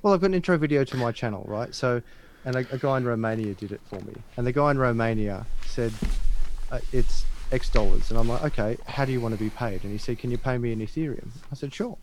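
Guy talking really fast, average pitch, casual chatty host telling little stories. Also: a little hiss or hum, poor audio. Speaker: channel host. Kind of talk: casual conversational discussion with anecdotes.

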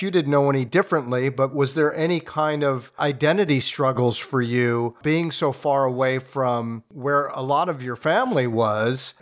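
A man interviewing a guest, asking long and gently probing questions. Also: mid-range voice.

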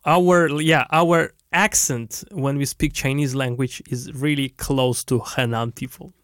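A man's voice: mid-range voice, fairly even intonation, measured pace, a bit bright, no room sound, clean studio sound. A man with a Russian accent in casual chat, laughing often and searching for words.

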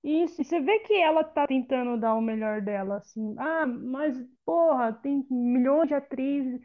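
A woman's voice: sits high, moderate pace. Opinionated woman, lo-fi call audio. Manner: opinionated, talks it through with many restarts. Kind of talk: casual film review chat.

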